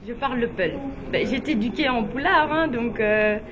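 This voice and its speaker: A woman's voice: a little high, normal speed. Young woman chatting over crowd noise. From Guinea. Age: young adult. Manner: chatty, with frequent fillers and laughs. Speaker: interviewee, beauty pageant runner-up. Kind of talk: relaxed personal storytelling.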